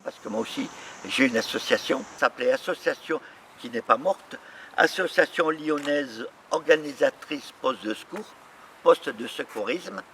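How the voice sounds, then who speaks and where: Older man from Algeria, bright voice. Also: a little high, animated, moderate pace, gravelly, recorded from a distance, slight background noise, rough recording. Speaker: association treasurer. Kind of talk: recorded testimony.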